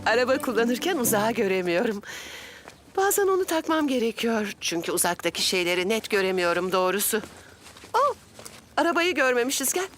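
A woman's voice: on the high side, sing-song, brisk. A woman voicing an affectionate, exclamatory grandmother full of little ohs in a children's cartoon dub. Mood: chatty, encouraging. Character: affectionate, chuckling grandmother of a young boy.

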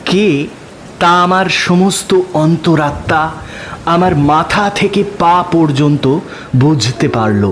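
A man narrating a literary story in the first person, slow and measured, with his pitch dropping low at the ends of phrases. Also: a bit bright, slight background noise. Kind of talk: scripted audiobook narration.

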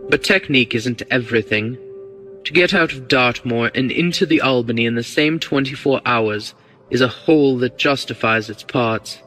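A man reading the part of a cool, bold, quick-witted English gentleman rogue in an audiobook, breezy and commanding. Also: medium pitch, soft background music, lightly acted, poor audio. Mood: breezy, amused.

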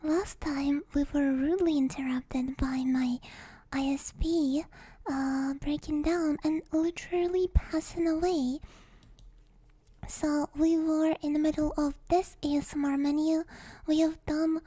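A young woman speaking in a soft, breathy, often whispered voice, chatting hesitantly and gently as an ASMR streamer close to the microphone. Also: high voice, fairly even intonation, a little slow. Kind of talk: ASMR, casual chat with viewers. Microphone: close stereo ASMR microphone.

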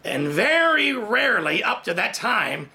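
A man in his forties preaches from his home study, raised in Thailand and telling his own story with lively, sweeping gestures. His voice sits high and rings with a sharp, bright edge, and it leaps up and down as he tells it. A gravelly rasp shows through at the bottoms of his phrases. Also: a little slow. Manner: animated storytelling with big hand gestures. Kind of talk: sermon testimony. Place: home study with bookshelves, indoors.

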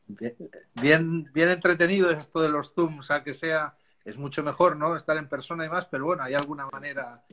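Spanish guy in his 50s, bright forceful voice, rambly and chatty, laughs a lot, video call. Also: on the high side, fairly even intonation, a little fast. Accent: Spanish, softened by years in Chile. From Spain. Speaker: winemaker guest. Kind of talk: casual video call interview. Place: dark room at home. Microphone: video call, laptop camera.

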